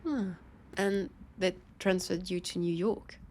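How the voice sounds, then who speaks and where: A woman playing a teasing, haughty vampire detective with a French accent, in an intimate roleplay audio drama, her dry playful lines spaced with lingering pauses. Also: lowish voice, fairly even intonation, a little mellow, slight background noise. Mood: curious, conversational. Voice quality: husky, breathy with creaky edges. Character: teasing, haughty female vampire detective, sardonic new partner.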